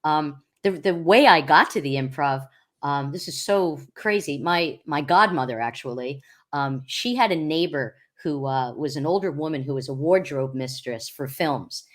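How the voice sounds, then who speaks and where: A middle-aged woman from Long Island with a deep voice, chatting as a podcast guest and rambling with many fillers and restarts. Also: normal speed. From Long Island, New York. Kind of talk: casual interview chat.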